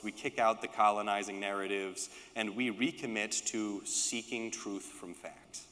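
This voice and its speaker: Male political speaker, low register, emphatic and deliberate scripted delivery, recorded with a long hall reverb. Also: a bit bright. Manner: emphatic, deliberate phrasing in short rhetorical lists. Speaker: speaker reading a panel address.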